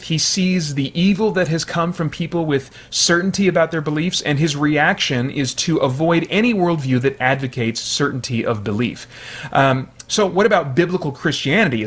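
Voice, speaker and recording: A man's voice: a little high, a bit bright. A man hosting a video, giving analytical commentary in a conversational, explanatory way. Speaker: video host.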